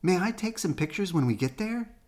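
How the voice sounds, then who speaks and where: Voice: medium pitch, expressive, fairly quick, darkish tone, voice pushed up, natural voice. A reader voicing a curious, outspoken young boy bear cub from a storybook, in an eager boyish manner.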